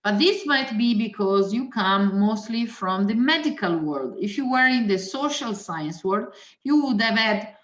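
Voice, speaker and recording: A woman's voice: mid-range voice, animated, a little slow, fairly bright tone. Italian-accented woman lecturing, roomy lo-fi sound. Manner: methodical, lists points, frequent hesitations. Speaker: doctor giving a lecture. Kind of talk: webinar lecture. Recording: roomy, dull lo-fi audio.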